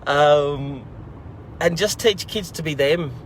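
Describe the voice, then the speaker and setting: A man's voice: a little high, slow, a little hiss or hum, poor audio. A man, hosting a children's learning program and chatting casually to camera in an upbeat, rambling, encouraging way.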